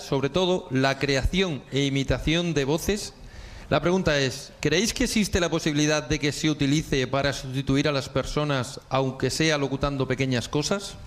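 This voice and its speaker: A man hosting a radio panel discussion, chatty and self-deprecating, with many fillers. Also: on the high side. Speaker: radio host.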